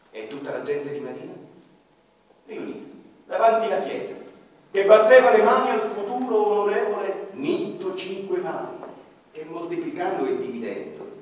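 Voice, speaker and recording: An actor playing an ordinary man who mourns his lost seaside village, in a lyrical monologue that swings from loud to hushed. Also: high-pitched, a little hiss or hum. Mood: bitter. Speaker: actor performing a radio-theatre monologue. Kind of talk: theatrical first-person monologue with violin accompaniment. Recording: echoey hall from far off, with violin.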